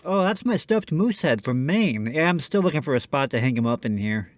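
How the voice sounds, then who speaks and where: A male radio host trading scripted comic banter as the straight man. His voice sits a little high and swings animatedly, with a dry studio sound. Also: very animated, brisk, no room sound.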